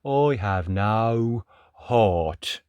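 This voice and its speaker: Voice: medium pitch, expressive, drawn-out words, a little mellow, clean tone, dry recording, lo-fi sound. A storyteller voicing a small, glum tin can character in a picture book, blunt in manner.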